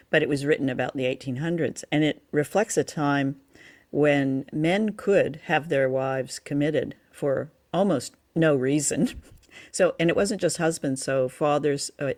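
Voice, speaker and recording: A woman's voice: rumbling low, darkish tone, dry recording. Woman co-host, dry close studio sound. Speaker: radio show and podcast co-host. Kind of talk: conversational discussion of an old radio drama.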